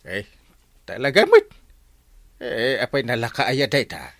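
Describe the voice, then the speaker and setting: Scolding barangay captain, Ilocano accent, excitable comic radio acting, very animated pitch, dry close sound. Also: male voice, slow pace, no echo, exaggerated voice. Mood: indignant. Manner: exclamatory, starts phrases with "eh". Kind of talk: comedy radio drama acting.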